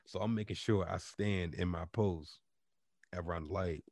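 A man with a deep voice telling an animated story in casual chat with friends, swearing freely and stumbling on restarts. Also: normal speed, gravelly, no echo, clean studio sound. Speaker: podcast co-host telling a story.

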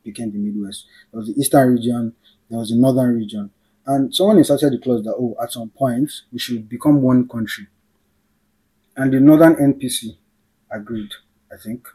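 Nigerian guy hosting a chill politics chat, warm husky voice, keeps correcting himself. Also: mid-range voice, moderate pace, low-quality recording. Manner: thoughtful, self-correcting, trails off quietly. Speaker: podcast host.

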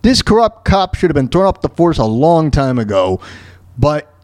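A man hosting a solo radio show, giving informal, opinionated commentary on the news in a halting, repetitive way. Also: on the high side, very animated, darkish tone, no echo, clean studio sound. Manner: informal, halting, repeats words and phrases for emphasis.

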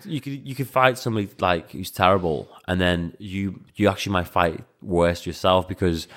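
Very deep-voiced young man, rambling. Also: normal speed, fairly bright tone. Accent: Liverpool (Scouse). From Latvia. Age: 20s. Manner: rambling, many fillers and restarts, acts out quoted speech. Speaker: professional kickboxer and coach, guest. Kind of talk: casual interview conversation.

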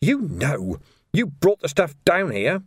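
A male narrator performs a cowering male prisoner in an audiobook. The voice jumps high and squeaky, swinging about as he whines and stammers. He sounds nervous and desperate to please, in a close, dry recording. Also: huge pitch swings, a little fast, no echo, exaggerated voice. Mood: aggrieved wailing. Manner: stammering, whining, pleading.

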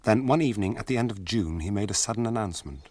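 An actor playing a sober storyteller, narrating a radio drama in measured tones with a British accent. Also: male voice, on the low side, animated, darkish tone. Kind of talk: scripted narration.

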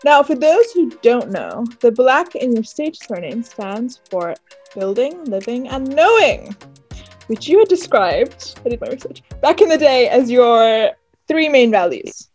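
A woman interviewer keeps affirming her guest between long questions. Her voice is fairly high and breathy. Faint music plays behind her. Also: very animated, measured pace, darkish tone. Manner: affirming, repeats "beautiful".

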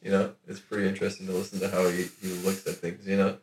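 Laid-back lower-voiced man in an echoey room. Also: monotone, quick pace, darkish tone, a little hiss or hum. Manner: laid-back slangy talk, swears freely. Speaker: podcast co-host. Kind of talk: casual sports banter. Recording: roomy echo.

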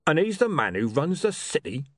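A man's voice: a little high, big pitch swings, moderate pace, fairly bright tone, dry recording, pitched above the performer's own voice. Man doing a sullen, crude thug. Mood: blunt aggression. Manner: blunt, muttering, grumbling. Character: crude, sullen, slow-witted violent male thug. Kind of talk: audiobook character voice.

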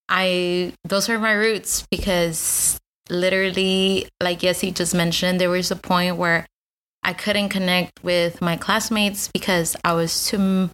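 Lower-voiced woman with a Spanish accent. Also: a bit bright, smooth. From Austin, Texas. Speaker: podcast co-host. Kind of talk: casual chat.

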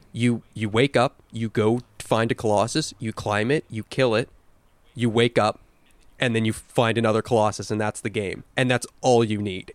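Guy podcast cohost chatting games, clear smooth voice, dry sound, emphatic and kinda sweary. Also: medium pitch, no echo. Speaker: podcast co-host. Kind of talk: casual chat about video games.

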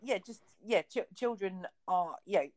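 A woman's voice: mid-range voice, unhurried, a little mellow. Woman co-host chatting casually. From England (Kent). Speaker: podcast co-host. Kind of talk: casual chat.

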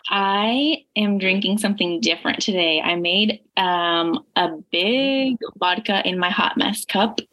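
A woman from Indiana co-hosting a podcast, chatting in a relaxed way and laughing often. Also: mid-range voice, measured pace, few pauses, dull audio. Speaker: podcast co-host. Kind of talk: casual chat.

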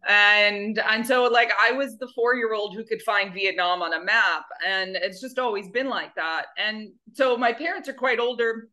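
A woman's voice: mid-range voice, moderate pace, few pauses, pushed and bright. A woman guest who founded an animal rescue, chatting casually in a rambling, self-deprecating way. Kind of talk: casual interview chat.

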